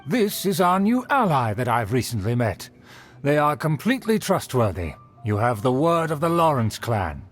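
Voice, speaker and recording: A man's voice: medium pitch, big pitch swings, normal speed. A man voicing a haughty, pompous aristocratic schemer in a video game, speaking in a formal, affected way. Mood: smug. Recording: music behind the voice.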